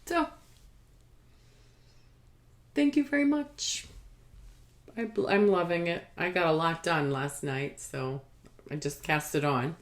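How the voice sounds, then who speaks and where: A woman hosting a casual craft podcast, rambling haltingly and sometimes trailing off as if talking to herself. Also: low-pitched. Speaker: podcast host showing knitting and spinning.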